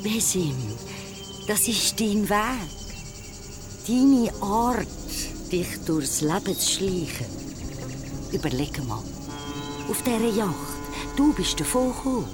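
A woman's voice: mid-range voice, animated, slow, long pauses, music in the background. An actress playing a dead grandmother's apparition in a radio drama, tender yet eerily insinuating and coaxing. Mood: coaxing, accusing.

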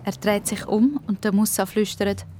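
Schweizer Erzählerin einer Kindergeschichte. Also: female voice, mid-range voice, steady tone, normal speed, a little mellow, slight background noise. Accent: Swiss German. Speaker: storyteller. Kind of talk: scripted narration of a children's audio play.

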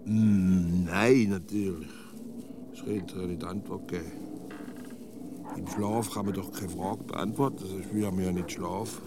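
An actor with a Swiss German accent playing a stubborn, earnest provincial amateur philosopher in a comic radio play, explaining haltingly and repeating his words. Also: low voice, fairly even intonation, very noisy. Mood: indignant. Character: naive know-it-all provincial male philosopher, stubborn, earnest.

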